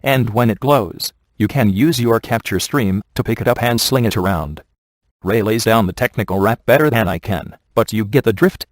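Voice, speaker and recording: A man's voice: fairly low pitch, moderate pace, no echo, natural voice. A man playing a wisecracking, laid-back ghost hunter in a video game, with a dry, sardonic and glib delivery. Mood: wry instruction. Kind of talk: scripted video game character dialogue.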